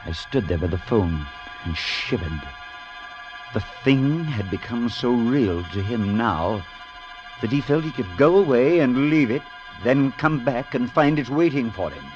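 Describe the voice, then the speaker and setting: A calm, skeptical priest, played by a man speaks in a firm, measured way with lively rises and falls. The old radio recording sounds dull, and music plays clearly underneath. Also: medium pitch, close to an everyday voice. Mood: solemn. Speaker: priest and narrator. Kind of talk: 1940s radio acting.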